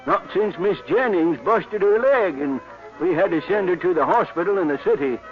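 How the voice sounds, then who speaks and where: An actor playing a folksy, plain-spoken old backwoods innkeeper with a rural American accent in a 1950s radio drama. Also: male voice, sits high, animated, moderate pace. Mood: matter-of-fact. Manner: drawling backwoods talk. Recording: muffled old radio recording, faint music.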